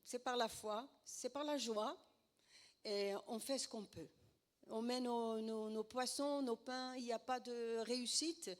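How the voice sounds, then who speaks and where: A middle-aged Dutch woman with a sore throat giving a church talk and testimony in a hesitant, halting way. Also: fairly high pitch, steady tone. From Netherlands. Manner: hesitant, many fillers and restarts. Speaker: guest speaker.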